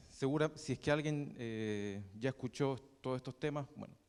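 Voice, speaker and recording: A young man with a Chilean Spanish accent teaches a math class at a middling pitch, pausing often on fillers and restarting his sentences. The recording carries the long reverb of a lecture hall. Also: creaky, darkish tone, clean tone. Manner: halting, with many fillers and restarts.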